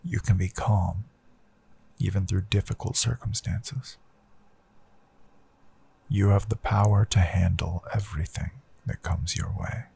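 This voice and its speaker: A man voicing a gentle, reassuring hypnotist in a hushed, breathy guided meditation that often slips toward a whisper, with long deliberate pauses. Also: low voice, fairly even intonation, slight background noise, heavily acted. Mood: hushed, intimate. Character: gentle male hypnotist Count, calm and reassuring. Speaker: hypnotist, audio host.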